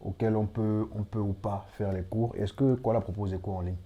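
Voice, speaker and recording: A man conducting an interview, calm and affirming, with brief acknowledgments and follow-up questions. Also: fairly low pitch, fairly quick, muffled recording.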